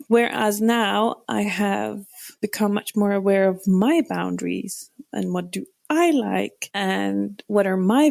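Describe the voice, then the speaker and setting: Norwegian woman on a podcast, kinda gravelly, dry close sound, thinks out loud and restarts a lot. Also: medium pitch, no echo. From Norway. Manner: thoughtful, hesitant, restarts sentences. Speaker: podcast guest. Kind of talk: reflective interview talk.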